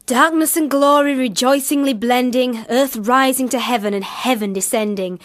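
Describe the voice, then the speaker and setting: High, measured young poetess, played by a woman. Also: no echo, clean studio sound, close to an everyday voice. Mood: dreamy, measured. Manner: measured, lyrical, formal. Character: Emily Bronte, proud, dreamy young poet sister. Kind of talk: radio drama acting.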